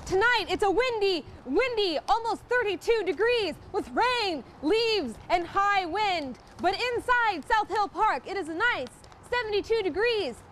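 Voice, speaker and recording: A woman's voice: very high-pitched, lively intonation, measured pace, hardly any pauses, fairly bright tone, a little hiss or hum. A woman doing a scripted mock TV news report as a field reporter, with an exaggerated, peppy delivery. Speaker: field reporter in a college's comedic promo newscast.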